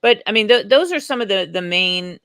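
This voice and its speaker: A woman's voice: medium pitch, lively intonation, a little fast, a bit bright, no room sound, clean studio sound. An American woman, a guest on an online talk show, chatting casually and rambling with many restarts. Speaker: guest advocate.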